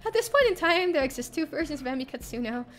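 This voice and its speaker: A woman's voice: sits high, animated, fast. A woman voicing a distraught, anguished young heroine of a horror visual novel in dramatic first-person narration. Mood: desperate.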